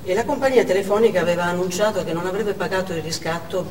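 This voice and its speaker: A woman's voice: lowish voice, fast talker, a crowd in the background, close to an everyday voice. Distant, echoey anxious Russian wife played by a woman. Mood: worried. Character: anxious Russian wife recounting her husband's dangerous trip. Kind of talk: theatrical stage monologue. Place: theatre stage, distant microphone. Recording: noisy, echoey theatre hall from afar.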